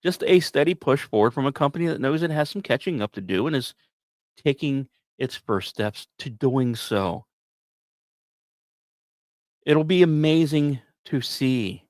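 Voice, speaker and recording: Male podcast narrator, mid-pitched and highly expressive, measured and emphatic with casual asides, dry recording. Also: no room sound. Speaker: podcast host. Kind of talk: scripted science news narration with casual asides.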